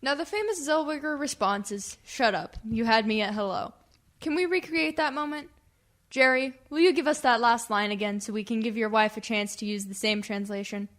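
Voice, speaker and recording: A woman hosting a radio comedy with scripted, clearly enunciated, showy presenter narration. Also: on the high side, normal speed, fairly bright tone.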